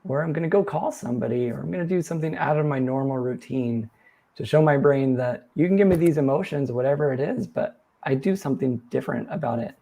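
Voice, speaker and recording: A man hosting a live-stream chat, his voice worn and fading as he loses it. His tone is dark and mellow, and he talks through questions in an earnest, coaching manner. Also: medium pitch, fast talker, gravelly. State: losing his voice. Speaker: host reading viewer questions.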